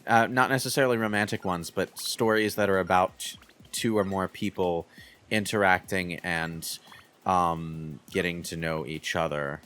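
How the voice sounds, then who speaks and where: A man's voice: low-pitched, fairly even intonation, measured pace, fairly bright tone, slight background noise. A man chatting casually as a video game commentator.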